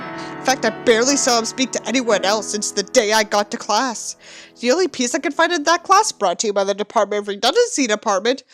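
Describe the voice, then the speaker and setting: A woman doing a dramatic book reading with sarcastic, sweary asides in a theatrical manner. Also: on the high side. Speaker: book reviewer and reader.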